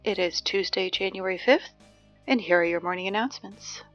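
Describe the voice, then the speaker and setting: A woman playing an anxious, wry high school girl reading morning announcements in an audio drama, rambling with sardonic, hesitant asides. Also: fairly quick, fairly bright tone, lo-fi sound, faint music behind, close to an everyday voice. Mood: upbeat, weary.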